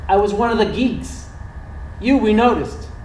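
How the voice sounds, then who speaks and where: A man's voice: high-pitched, animated, unhurried, darkish tone. A man playing an earnest, conversational doctor near sixty, a courteous former geek, in a live stage play. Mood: nostalgic, teasing. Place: indoor theater stage. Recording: distant, echoey theater stage with noise.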